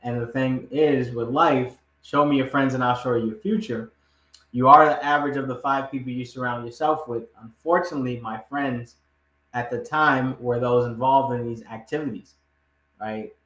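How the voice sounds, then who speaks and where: Male podcast guest, medium-pitched, emphatic conversational storyteller, recorded in a roomy, echoing space. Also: fairly even intonation, normal speed, a bit bright. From Ghana, moved to the United States aged eight. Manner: emphatic, repetitive, often checks in with "right?". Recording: roomy, echoing room sound.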